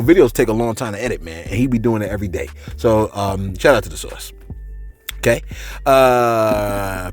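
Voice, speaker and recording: A man hosting a casual commentary show, reading posts aloud and answering viewers with animated, mock-angry bursts. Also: mid-range voice, breathy edge. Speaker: commentary channel host.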